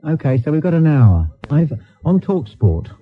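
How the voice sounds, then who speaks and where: Sardonic British radio host, very animated pitch. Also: male voice, normal speed, husky. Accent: British English. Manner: sardonic, mock-indignant. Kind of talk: live radio phone-in chat. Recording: lo-fi phone-like radio recording, faint music.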